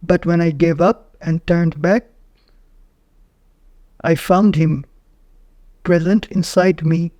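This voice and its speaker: A man narrating a guided meditation in short, measured phrases with frequent pauses. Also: on the high side, fairly even intonation, a little mellow, no echo.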